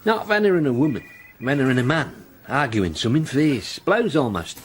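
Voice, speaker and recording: Chatty detective, played by a man, animated intonation, clipped colloquial lines, faint ambience behind. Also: medium pitch, normal speed, a little hiss or hum, natural voice. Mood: brisk. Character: chatty, colloquial male police detective reporting leads. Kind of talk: naturalistic radio drama acting.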